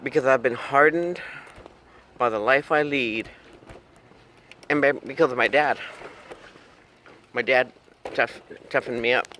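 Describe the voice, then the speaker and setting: Jokey bright-voiced man in his fifties. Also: medium pitch, unhurried, long pauses, a little hiss or hum. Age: 50s. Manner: joking, chuckling, humming silly tunes. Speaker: vlogger. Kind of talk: rambling casual chat. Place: in a car.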